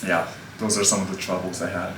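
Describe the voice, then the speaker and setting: Young guy in his 20s, japanese first language, laid back, says like a lot, lowish voice, sounds far off in an echoey room. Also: level tone, fast, fairly bright tone. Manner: easygoing, leans on filler "like". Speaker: interview guest. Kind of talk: casual interview talk. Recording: roomy, distant mic, faint background hum.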